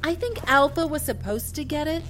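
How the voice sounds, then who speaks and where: A woman playing a breezy, wry moon base quartermaster in an audio drama, brisk and familiar. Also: high-pitched, a bit bright, slight background noise. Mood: breezy, welcoming.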